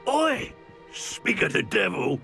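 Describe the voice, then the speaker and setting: A rough criminal henchman. His delivery is coarse and threatening, with very wide pitch swings, like a villain's lackey in a fantasy game. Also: very animated, slow, sharp tone, faint music behind, exaggerated voice. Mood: menacing. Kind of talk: video game dialogue.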